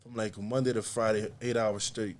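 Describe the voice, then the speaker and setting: A young man talking casually as a podcast guest, in a relaxed, rambling way with frequent laughs. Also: fairly low pitch, normal speed, slight background noise. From USA. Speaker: podcast guest, artist and comedian. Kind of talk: casual conversation.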